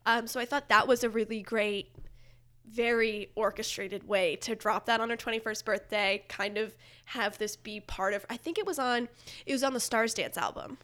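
A woman with a fairly high voice talks casually, as a guest on a chat show would. Her phrasing is loose and conversational, sprinkled with "like". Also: moderate pace, fairly bright tone. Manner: conversational, heavy use of "like". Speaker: podcast guest presenting ranked music video picks. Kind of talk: casual chat about pop music.

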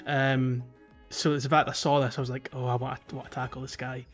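Halting male podcast host over music. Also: medium pitch, level tone, highs cut off. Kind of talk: casual video game discussion.

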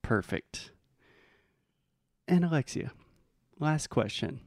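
An American man teaching English in a bilingual lesson, explaining patiently and modeling phrases slowly. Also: medium pitch, expressive, a little mellow, no room sound, studio quality. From United States.

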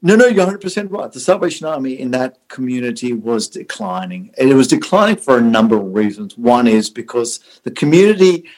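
A man's voice: mid-range voice, sing-song, a little fast, hardly any pauses, low-quality recording. Australian man telling stories in an interview. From born in South Africa, raised in Australia. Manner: repeats and restarts words, warm storytelling. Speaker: Salvation Army officer, podcast guest. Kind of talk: conversational interview answers.

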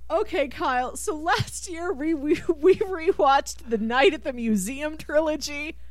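A woman's voice: high voice, measured pace, dry recording. A woman hosting a podcast, chatting casually about pop culture and laughing readily. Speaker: podcast host.

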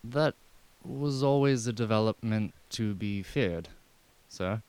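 A man's voice: lowish voice, slow pace, darkish tone, smooth, no room sound. A man playing an unflappable, discreet valet in a radio drama, deferential and formally understated. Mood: calm, deferential.

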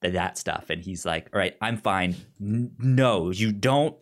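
A man's voice: lowish voice, measured pace. A man casually discussing a film as a podcast co-host, often stammering and repeating words, and acting out characters' lines. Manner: animatedly acts out characters' lines.

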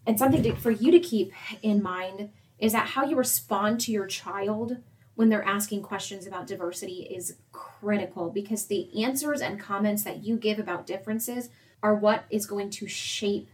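A young woman co-presenting, talking parents through advice in a steady, explanatory way and addressing them directly. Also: medium pitch, moderate pace. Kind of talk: semi-scripted advice talk.

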